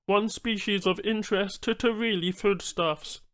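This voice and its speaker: A man voicing a formal, eager male alien trader who speaks in halting, oddly ordered phrases for an audiobook. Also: sits high, steady tone, a bit bright, pitched above the performer's own voice. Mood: businesslike. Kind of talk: audiobook character voice.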